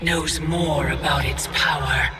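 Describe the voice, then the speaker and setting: An actress playing an imperious, ancient female Titan of time in a video game, haughty, measured and menacing. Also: low voice, steady tone, music in the background. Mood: cool taunting. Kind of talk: dramatic video game cutscene acting.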